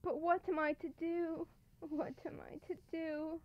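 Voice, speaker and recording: Woman as a high-voiced, desperate young maiden. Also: little pitch movement, measured pace, dry recording. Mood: desperate, brave. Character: desperate captive young maiden, frightened but brave. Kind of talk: old-fashioned radio drama acting. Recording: dull, muffled lo-fi sound.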